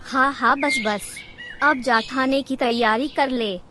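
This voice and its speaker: A greedy old mother-in-law bird from a cartoon, acted by a woman, with a very high voice. She nags and taunts in slow, dramatic, drawn-out phrases, over faint background noise. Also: steady tone. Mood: weary, irritable. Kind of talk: Hindi cartoon character acting.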